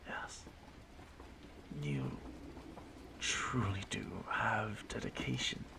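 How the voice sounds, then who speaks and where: Man acting a gravelly, slow-spoken ancient immortal stalker. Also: lowish voice, noisy background, heavily acted. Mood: softly menacing. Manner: halting, musing, drawn-out, often dropping to a whisper. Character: ancient immortal male stalker, softly menacing, wistful, courteous. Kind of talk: slow intimate audio roleplay monologue.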